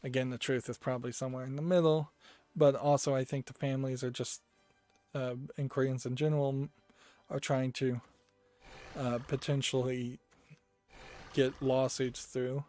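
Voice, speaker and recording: A man of middle pitch thinks aloud as he hosts a game news channel, giving loose, unscripted commentary while browsing store listings. He pauses often and hesitates mid-thought. Soft music plays faintly in the background. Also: little pitch movement.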